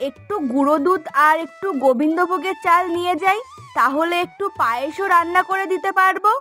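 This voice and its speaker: A woman's voice: very high-pitched, a little fast, bright, forceful tone, faint music behind. A woman voicing an eager, dutiful young daughter-in-law in a cartoon, with a chatty, practical, coaxing manner. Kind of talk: cartoon story dialogue.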